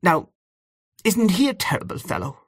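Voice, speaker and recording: A man voicing a fussy, excitable elderly aunt in an audiobook story. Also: high-pitched, moderate pace, husky, dry recording, studio quality, pitched above the performer's own voice, full character voice. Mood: fussing, confiding. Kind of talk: audiobook character voice.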